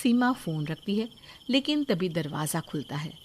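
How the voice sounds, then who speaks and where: A woman's voice: on the low side, sing-song, normal speed. A woman reading scripted narration for a moral story.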